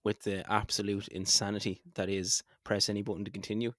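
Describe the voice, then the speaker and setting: A man's voice: on the low side, level tone, fairly quick, no room sound, clean studio sound. A man co-hosting a podcast in casual, effusive chat, often repeating his phrases for emphasis. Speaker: podcast co-host.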